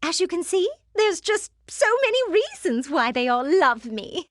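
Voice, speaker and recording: A woman voicing a vain, gushing gem queen villain in an animated game, theatrical and condescending. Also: very high voice, unhurried, dry recording, clean studio sound, full character voice. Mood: haughty, menacing.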